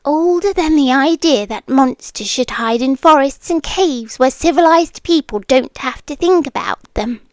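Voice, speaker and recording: A British woman playing an ancient, possessive, teasing predator fae in an ASMR roleplay, speaking softly and deliberately, often near a whisper. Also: high-pitched, expressive, dry recording. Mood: quietly menacing. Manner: slow, hushed, deliberate, often dropping into whispers. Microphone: close microphone, dry.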